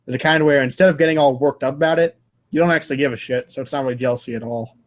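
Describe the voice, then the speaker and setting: A man's voice: mid-range voice, fairly even intonation, rapid-fire. A man reading comic narration aloud in a casual, irreverent way, stumbling over lines now and then. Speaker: podcast co-host and reader.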